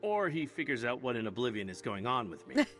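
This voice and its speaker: An actor playing a troubled, self-sacrificing adventurer in a fantasy video game, wry and rueful. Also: male voice, mid-range voice, very animated, a little fast, a bit bright, faint music behind. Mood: rueful, resolved.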